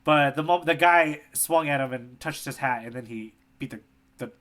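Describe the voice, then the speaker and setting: Male podcast co-host, bright forceful tone, slightly high voice, playful casual storyteller. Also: normal speed. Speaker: podcast co-host, tour guide. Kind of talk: casual chat, manga readalong podcast.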